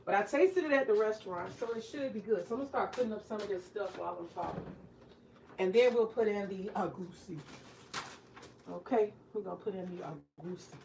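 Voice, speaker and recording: A woman's voice: mid-range voice, fairly quick, creaky, noisy background. A woman in her forties, a retired teacher, chatting candidly to viewers as she cooks in her home kitchen, joking and swearing freely. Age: 40s. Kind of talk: casual cooking vlog chat.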